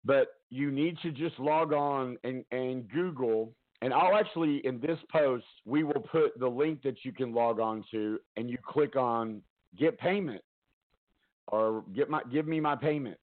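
A man hosting a casual talk stream from home, loud-voiced, rambling and chatty, often laughing, talking into a headset. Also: mid-range voice, a little slow, narrow, tinny audio. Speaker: talk show host.

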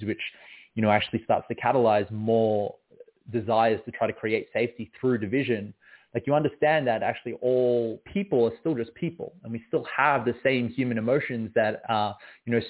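A man, a guest poet answering interview questions in a reflective, searching way. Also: medium pitch. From Australia. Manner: reflective, many fillers and restarts. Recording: phone-like narrow sound.

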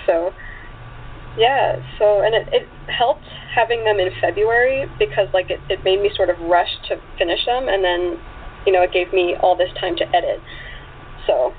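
A woman guest chatting casually in an interview, talking in a chatty way peppered with "like" and "you know". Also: lowish voice. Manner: chatty, frequent 'like' and 'you know'. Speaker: guest author and actress. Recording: tinny phone line with clear background noise.

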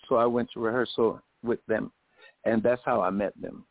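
A man's voice: fairly low pitch, fairly even intonation, moderate pace, no room sound. A man in his seventies from Jamaica, a singer, telling anecdotes as a guest in a radio interview. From Jamaica, later the United States. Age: 70s. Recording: phone-like, thin radio call.

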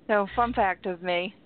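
A woman co-hosting a radio talk show, playful and giggly, with a habit of doing silly voices. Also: mid-range voice. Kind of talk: casual radio banter. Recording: dry, phone-like narrow sound.

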